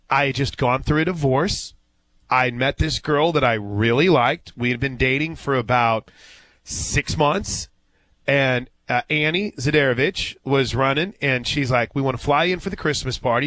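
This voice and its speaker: A male sports radio host spins a story in a relaxed, folksy manner, his voice sitting at an ordinary middle pitch. The sound is dry and close, like a studio mic. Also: no echo, rough recording. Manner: easygoing storyteller, folksy asides. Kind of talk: live radio talk show.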